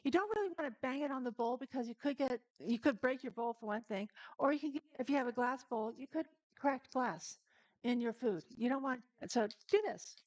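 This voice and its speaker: A woman with a slightly high voice hosts a relaxed live cooking stream, talking straight to her viewers as she works. She chats freely and laughs easily. The sound is dry and close, with no room echo. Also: brisk.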